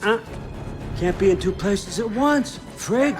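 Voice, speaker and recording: An actor playing a menacing, mockingly polite villain god, glib and controlling, in a video game. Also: male voice, high-pitched, expressive, slow pace, music in the background. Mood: smug, taunting. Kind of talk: video game cutscene acting.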